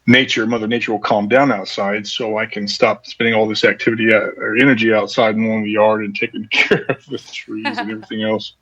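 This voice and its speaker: A man's voice: lowish voice, little pitch movement, brisk, hardly any pauses, a bit bright, no room sound, muffled recording. An older man chatting casually as a guest, in an easygoing, rambling way. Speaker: voice actor and writer guest. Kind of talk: casual chat.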